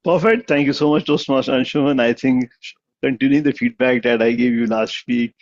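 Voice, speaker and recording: A man's voice: mid-range voice, steady tone, a little fast, lo-fi sound. A man giving conversational spoken feedback at a club meeting over a video call, with many restarts and fillers. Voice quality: gravelly. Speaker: meeting evaluator.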